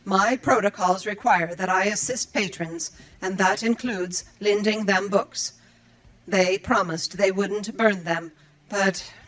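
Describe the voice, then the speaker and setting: A woman's voice: medium pitch, takes it slowly, fairly bright tone, poor audio. A woman playing a dutiful, earnest android librarian in an audio drama, speaking in formal, precise, procedural phrases. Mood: matter-of-fact. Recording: music behind the voice. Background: music.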